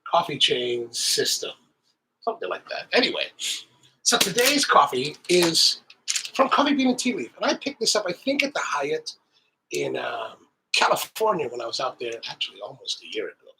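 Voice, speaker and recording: A man's voice: a little high, huge pitch swings, moderate pace, sharp tone, a little hiss or hum, rough recording. A middle-aged man hosting a coffee channel, chatting casually while stuffy with the sniffles and sounding a bit nasal, rambling with many restarts. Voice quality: slightly nasal. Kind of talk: casual tasting talk to camera.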